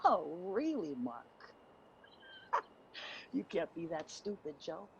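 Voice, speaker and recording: A woman's voice: on the low side, big pitch swings, takes it slowly, gravelly, highs cut off, a little hiss or hum, exaggerated voice. A woman playing a defiant, needling homeless woman in a dramatic play reading, confrontational and sardonic.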